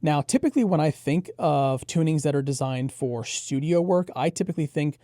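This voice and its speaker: Higher-voiced, mellow man reviewing gear. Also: a little fast, dry recording, studio quality. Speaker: headphone show co-host reviewer. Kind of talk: conversational product review commentary.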